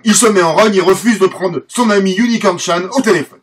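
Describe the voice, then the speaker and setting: A man's voice: high voice, a little fast, noisy background. A French man hosting a channel, narrating film history in a casual, joking way, often stumbling over names and mocking himself. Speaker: channel host and collector.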